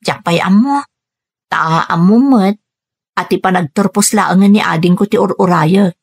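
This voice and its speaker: A woman's voice: medium pitch, measured pace, husky. A woman playing a young, dutiful, burdened Filipina daughter working overseas in an Ilocano radio drama, earnest and plaintive, with an Ilocano accent. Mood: wistful, earnest. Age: young adult. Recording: music bed under the voice. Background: music.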